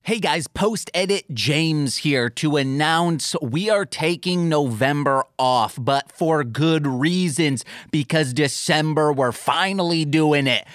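A man's voice: fairly high pitch, takes it slowly, hardly any pauses, fairly bright tone, no room sound, clean studio sound. A man hosting a podcast with casual banter and plugs, rambling jokily and throwing in playful exclamations. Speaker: podcast host.

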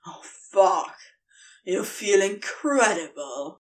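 A flustered demon acted by a woman in an audio story. He sounds strained and incredulous, with theatrical swings in pitch and a slow, drawn-out delivery. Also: expressive, recorded from a distance. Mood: theatrically groaning. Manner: strained, groaning or squeaky delivery. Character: stressed, incredulous, swearing male demon.

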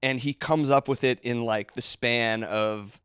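A man's voice: mid-range voice, steady tone, a little slow, fairly bright tone, clean tone, dry recording, studio quality. A young adult man co-hosting a podcast, talking in a loose, rambling way, restarting sentences and slipping into playful impressions. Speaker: podcast co-host. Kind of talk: casual chat.

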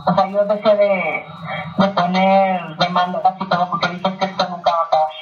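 A woman's voice: lowish voice, flat delivery, moderate pace. A woman from Mexico, answering interview questions hesitantly and mixing in English phrases. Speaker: interview guest, nutritionist. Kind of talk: casual conversation. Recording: echoey, distant, muffled, faint music.

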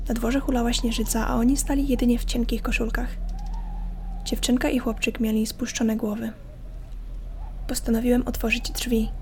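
A woman narrating a scripted horror story as a podcast host, in a measured, hushed, suspenseful way. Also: a little high, a little hiss or hum. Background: faint music.

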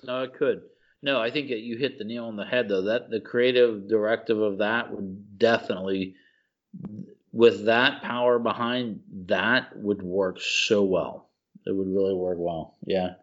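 A man with a fairly low voice chats casually as a podcast co-host. He is effusive and slangy, often restating a phrase to drive it home. The recording is a little dull and lo-fi. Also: steady tone, measured pace. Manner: effusive, slangy, repeats himself for emphasis. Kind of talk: casual chat about video games and movies.

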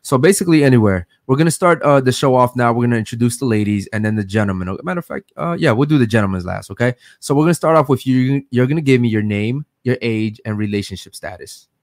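A man's voice: medium pitch, expressive, quick pace, hardly any pauses, dry recording, studio quality. Laid-back man hosting a podcast. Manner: laid-back, says "man" and "bro" a lot, laughs often. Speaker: podcast co-host. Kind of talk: casual chat.

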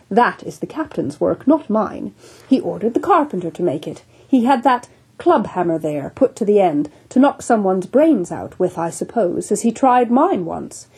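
A woman's voice: medium pitch, warm tone, husky, heavily acted. A woman voicing a sober, formal English ship's surgeon in a storybook reading. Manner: formal, unruffled, precise.

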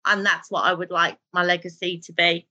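Bright, animated woman storyteller. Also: on the low side, brisk, highs cut off. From Britain, raised in a Pakistani family. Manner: animated, storytelling, laughs easily. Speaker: guest, television drama writer. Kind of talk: casual interview talk.

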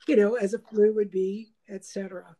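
A woman's voice: medium pitch, little pitch movement, normal speed, warm tone. A woman hosting a casual chat, talking in a meandering, reflective way with many fillers. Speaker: host.

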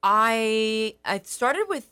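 Bright, smooth-voiced woman actress. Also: fairly high pitch, lively intonation, very slow. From Sullivan County, New York. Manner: cheerful, chatty storyteller. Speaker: actress guest. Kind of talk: casual interview chat.

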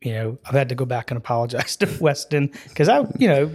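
A man in his forties from Wisconsin, a guest telling stories in a relaxed podcast chat, animated and laughing easily, with many filler phrases. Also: mid-range voice, fast talker. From Wisconsin, US Midwest. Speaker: guest, ministry program leader. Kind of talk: casual storytelling in a podcast chat.

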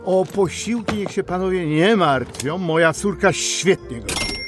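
An actor playing an affable, money-minded small-town pharmacist landlord, folksy and unhurried, in a radio drama. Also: male voice, high-pitched, expressive, music in the background. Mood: satisfied, bargaining.

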